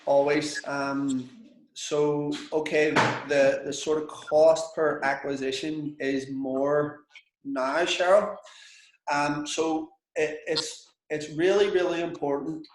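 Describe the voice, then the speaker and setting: A man's voice: on the high side, roomy sound, a little hiss or hum, rough recording. A man with a Belfast accent coaching a business group in a frank, chatty, sweary way. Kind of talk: casual coaching session with students.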